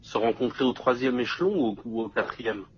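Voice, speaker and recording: A man in casual discussion, explaining step by step and checking that the listener follows, with a husky, rough voice. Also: medium pitch, fairly even intonation, fairly quick, slight background noise. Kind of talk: casual two-person discussion. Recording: dull lo-fi audio.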